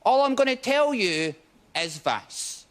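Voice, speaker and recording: A Scottish man in his twenties doing a stand-up set on stage, telling stories quickly and with punchlines. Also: very high voice, clear, resonant tone. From Scotland. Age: 20s. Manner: quick, animated storytelling with punchlines. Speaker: stand-up comedian. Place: TV studio stage before a live audience. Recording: audience laughter and crowd noise.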